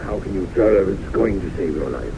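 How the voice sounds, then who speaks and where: An actor playing a genteel, inquisitive club gentleman in an old-time radio drama, hemming and hesitant in his polite talk. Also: low voice, expressive, a little fast, gravelly. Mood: hesitant, curious. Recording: muffled, noisy 1970s radio recording.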